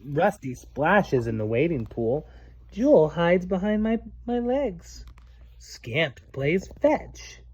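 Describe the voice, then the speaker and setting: A man's voice: a little high, sing-song, slow pace, a little mellow, husky. A man reading a children's storybook aloud to a child, playful and theatrical, stopping to explain words simply.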